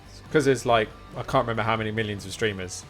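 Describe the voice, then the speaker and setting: A man's voice: on the low side, quick pace, a bit bright, soft background music. A man live streaming, chatting to his viewers in an informal, rambling, encouraging way. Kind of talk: casual chat with stream viewers.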